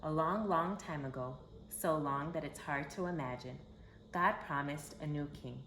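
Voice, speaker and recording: A woman reading a children's story aloud in an expressive, emphatic storytelling manner. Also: deep voice, normal speed. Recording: roomy echo with background hiss.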